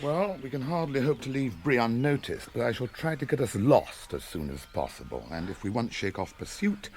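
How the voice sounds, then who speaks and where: An actor playing a grave wilderness guide in a radio drama, speaking with formal, terse authority. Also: male voice, mid-range voice, big pitch swings, normal speed, a little mellow, a little hiss or hum. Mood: urgent, decisive. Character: grave, commanding male wilderness ranger guide.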